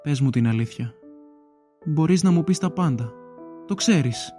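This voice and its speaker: A man's voice: a little high, lively intonation, mellow, faint music behind, close to an everyday voice. A man playing a devoted, earnest boyfriend in an audio drama, speaking tenderly and pleadingly.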